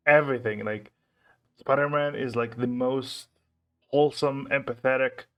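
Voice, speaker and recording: Guy doing reaction commentary, casual, normal pitch, kinda stumbles and repeats himself while thinking out loud. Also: steady tone, moderate pace, dull audio. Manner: hesitant, repeats words while thinking aloud. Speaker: reaction video host.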